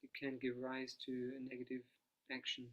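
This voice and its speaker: A man, a monk, leading a guided analytical meditation, speaking quietly and unhurriedly without a dedicated microphone. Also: medium pitch, completely flat delivery, lo-fi sound. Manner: soft-spoken, quiet, unhurried.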